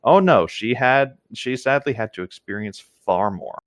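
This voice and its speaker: A man reads a story aloud with casual asides. His medium-pitched voice rises and falls in a lively way, and the sound is dry with no room echo. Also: moderate pace. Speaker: co-host reading a story aloud. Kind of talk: read-aloud with casual commentary.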